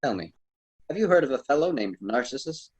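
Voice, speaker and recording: A man's voice: mid-range voice, sing-song, brisk, slightly rough. A man playing a courteous, lofty ancient poet and guide in a radio drama, speaking formally and theatrically. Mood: amused, admonishing.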